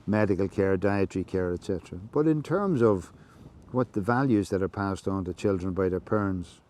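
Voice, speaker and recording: An Irish man giving a measured, reflective monologue, explaining things slowly, with many fillers and restarts. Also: low-pitched, dark tone. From Ireland. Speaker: podcast host.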